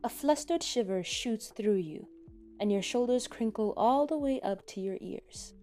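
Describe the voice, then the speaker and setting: A woman reading scripted fan fiction as a dramatic narrator, with expressive, deliberate phrasing. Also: a little high, faint music behind.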